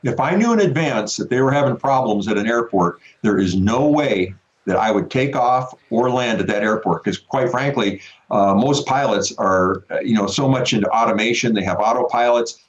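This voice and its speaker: A man's voice: medium pitch, expressive, moderate pace, few pauses, muffled recording. A man speaking as a veteran pilot guest, explaining things conversationally over a remote connection.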